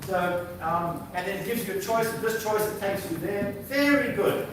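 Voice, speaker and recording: A man lectures from slides, walking an audience through examples in an unhurried, explanatory way with plenty of ums. His voice sits a little high and comes from well away from the microphone. The hall rings with heavy echo and a steady background noise. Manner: explaining slides, reads prompts aloud, many fillers. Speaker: visiting professor. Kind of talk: university lecture. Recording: very echoey hall, distant mic, background noise.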